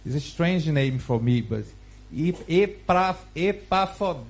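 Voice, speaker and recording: Slow Brazilian-accented man preaching. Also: on the high side, animated. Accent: Brazilian Portuguese. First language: Portuguese. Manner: slow, careful, halting phrasing with restarts. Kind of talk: Bible reading and sermon.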